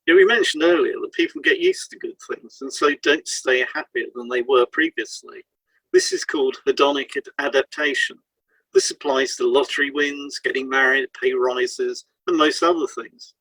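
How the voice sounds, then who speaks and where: Male co-host for scripted educational audio. Animated intonation, slightly rough-edged husky tone. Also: medium pitch, normal speed, dry recording, poor audio. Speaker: podcast co-host, hypnotherapist.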